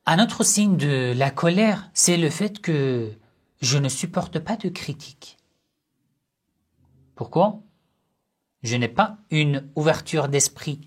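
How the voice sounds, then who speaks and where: Slow, measured male religious teacher. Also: medium pitch. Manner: poses rhetorical questions and answers them; measured, short phrases with pauses. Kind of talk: slow religious lesson on family life.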